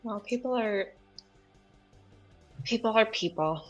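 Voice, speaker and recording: A woman co-hosting a casual live stream, upbeat, reading viewer comments aloud and quick to apologize. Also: fairly high pitch, highs cut off, soft background music. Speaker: podcast co-host.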